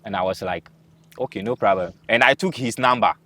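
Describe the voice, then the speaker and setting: A man with a Ghanaian accent and a loud voice, animatedly telling a story as an interview guest and acting out the people in it. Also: lowish voice, a little fast. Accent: Ghanaian English. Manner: animated, acts out quoted dialogue.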